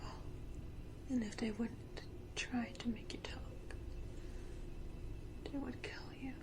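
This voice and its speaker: A woman voicing a menacing, tenderly cruel vampire matriarch in a slow, breathy, theatrical whisper for an ASMR roleplay. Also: fairly high pitch, fairly even intonation. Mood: ominous pity.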